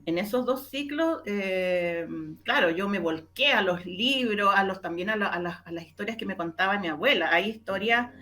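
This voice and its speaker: A middle-aged woman with a Chilean accent, telling stories conversationally as an interview guest, often pausing to find her words. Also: mid-range voice, pushed and bright. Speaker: interview guest, radio drama maker and journalist.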